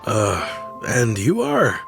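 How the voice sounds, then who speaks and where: A man's voice: on the low side, huge pitch swings, extremely slow, gravelly, over music, exaggerated voice. A man voicing a wary young townsperson in a video game, hesitant and awkwardly polite. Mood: awkward, put off. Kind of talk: video game character acting.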